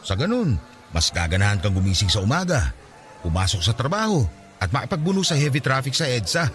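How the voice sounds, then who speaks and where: A friendly young man's voice in a radio drama, moving up and down a lot as he gives warm advice. Soft music sits quietly in the background. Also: medium pitch, big pitch swings, fast talker. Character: young man Raj, caring childhood friend.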